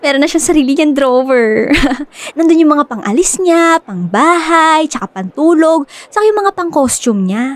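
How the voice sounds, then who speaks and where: A woman playing an excitable, stubborn young woman who dotes on her pet dog in a radio drama, gushing and chatty. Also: high-pitched, moderate pace, no room sound. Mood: gushing, excited. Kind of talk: Filipino radio drama acting.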